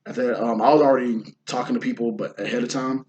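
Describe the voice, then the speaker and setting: A hoarse man from the Houston area chatting casually about his indie film shoot, rambling with lots of fillers and restarts. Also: medium pitch, steady tone, quick pace, a little mellow, highs cut off, a little hiss or hum. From Houston, Texas area. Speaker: indie filmmaker and actor. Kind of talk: casual vlog talk.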